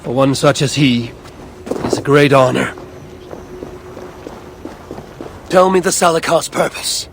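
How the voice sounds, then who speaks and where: An actor playing a proud, vengeful, brooding warrior god in a video game cutscene, with grand archaic phrasing. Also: male voice, fairly high pitch, moderate pace, creaky, a little hiss or hum. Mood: proud, commanding.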